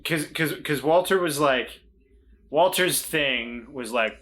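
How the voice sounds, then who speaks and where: Bright-voiced excitable player man. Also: on the high side, takes it slowly. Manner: excitable, stumbles over his words. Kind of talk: casual chat among players.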